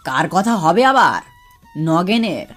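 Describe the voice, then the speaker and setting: A woman's voice: fairly low pitch, very animated, measured pace, soft background music. A woman playing a caring, plain-spoken young village grandson in a cartoon story, talking in a colloquial, nagging way. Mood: exasperated. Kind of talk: Bengali cartoon story acting.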